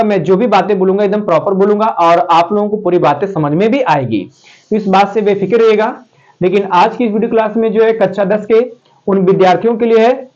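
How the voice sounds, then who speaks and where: A male math teacher with a sore, slightly hoarse throat, talking students through a lesson in a chatty, repetitive way. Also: sits high. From Uttar Pradesh. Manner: chatty, repetitive, addresses students warmly as "pyare saathiyon". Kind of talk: casual spoken math lesson. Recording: dull lo-fi sound.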